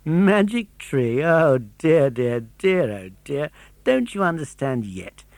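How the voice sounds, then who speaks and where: A man's voice: on the high side, big pitch swings, takes it slowly, darkish tone, no echo, exaggerated voice. A man voicing a mocking, chuckling know-all pigeon in a children's storybook, scornful and lecturing with heavy emphasis. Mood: pitying scorn.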